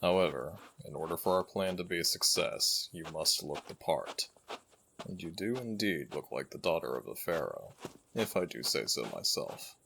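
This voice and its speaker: A man voicing an ancient jackal-headed god in an ASMR roleplay, gravelly and husky, speaking in stately, affectionate, gently teasing phrases to one listener. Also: sits low, lively intonation, normal speed, slight background noise, heavily acted, poor audio. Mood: fond, formal. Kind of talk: ASMR roleplay audio drama.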